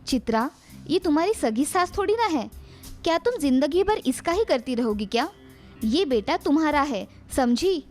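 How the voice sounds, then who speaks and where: A woman's voice: high-pitched, sing-song, normal speed, darkish tone, soft background music. A woman playing a meddling young friend in a cartoon story, gossipy and goading. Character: meddling young friend stirring up family trouble.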